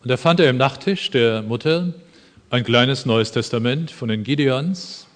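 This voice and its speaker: A man's voice: medium pitch, unhurried. A man preaching a sermon in an earnest, emphatic way, with dry asides. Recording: long hall reverb.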